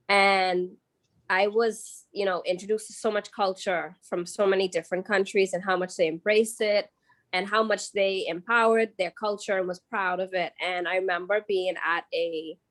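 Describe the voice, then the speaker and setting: A young Bahamian woman answers an interview question in a loose, chatty way. She talks at a middle pitch, with plenty of fillers and restarts along the way. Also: fairly bright tone. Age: young adult. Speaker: guest artist.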